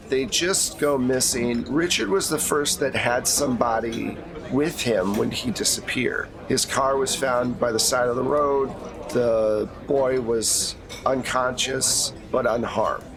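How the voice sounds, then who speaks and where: A man acting as game master, giving a conversational, explanatory briefing in a tabletop roleplay. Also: medium pitch.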